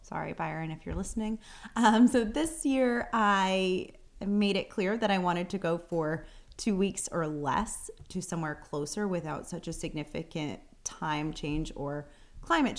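A woman co-hosting a podcast, chatting casually, often laughing and using fillers like "um" and "like". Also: lowish voice. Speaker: podcast co-host. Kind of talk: casual chat.

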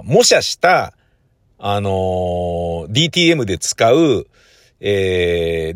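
A man's voice: on the low side, dry recording, studio quality. A middle-aged man rambling casually and colloquially on his own as a host, leaning emphatically on key words. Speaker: audio enthusiast host. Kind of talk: casual solo chat.

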